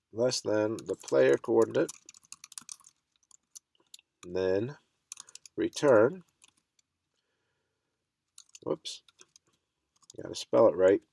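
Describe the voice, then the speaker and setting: A man with a stuffy cold walks through a programming lesson in a relaxed, thinking-aloud way. His voice sits low with some gravel in it. The sound is close and dry, with keyboard clicks now and then. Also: no echo. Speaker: coding instructor. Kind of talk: screen-recorded coding tutorial.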